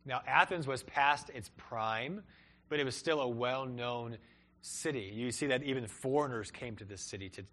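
A young man delivers a sermon with earnest conviction, his voice swelling on key phrases and sinking low at the ends of lines. He speaks as a pastor addressing his congregation, at an ordinary middle pitch. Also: a bit bright. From Peru and Cleveland, Ohio. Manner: earnest, rises and falls for emphasis.

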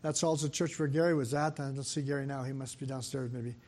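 An older man, soft-voiced, giving a sermon as a guest preacher in a church, talking fast with frequent fillers and restarts. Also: medium pitch, steady tone. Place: church sanctuary. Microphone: microphone.

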